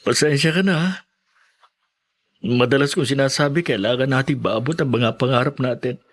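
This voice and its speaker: A man's voice: medium pitch, normal speed, natural voice. A man playing a struggling, anxious young student, earnest and hesitant, in a Filipino radio drama. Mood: discouraged.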